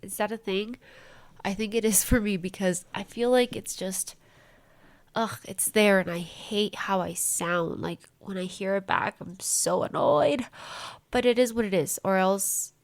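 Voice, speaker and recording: A woman's voice: medium pitch, a little slow. A young woman with a congested voice, rambling through a casual diary-style podcast from a small room at home. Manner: rambling, chatty, self-interrupting. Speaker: podcast host.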